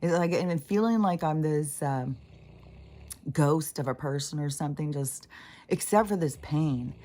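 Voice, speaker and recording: A woman with a notably low, scratchy voice talks through her spiritual experiences in a loose, unscripted monologue. She drifts off mid-thought, circles back, and swears without a second thought, like a host speaking candidly to her channel. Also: measured pace, warm tone. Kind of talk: rambling casual monologue.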